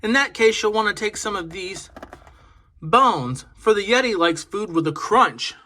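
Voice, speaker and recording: Man acting an indignant, bright-voiced female monster. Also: sits high, expressive, measured pace, exaggerated voice. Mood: advising. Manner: indignant, emphatic. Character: big indignant female mountain monster, bossy yet helpful.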